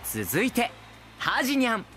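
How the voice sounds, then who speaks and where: A man's voice: very high voice, huge pitch swings, unhurried, heavily acted. A male actor playing a polite, princely young idol who hosts a stage event in an audio drama. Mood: gracious. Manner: courteous, smooth, gently chiding. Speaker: stage host.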